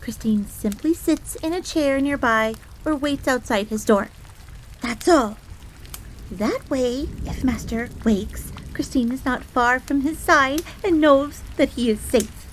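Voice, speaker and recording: Casting: prim tsundere fox maid, played by a woman, higher-pitched, formal, jealous and devoted servant, audio roleplay with rain behind. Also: slow pace, long pauses, rough recording. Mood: flustered, defensive, then composed, devoted. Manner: formal, stiff, speaks of herself in third person. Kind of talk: scripted audio roleplay acting. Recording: steady rain noise in background. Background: rain ambience.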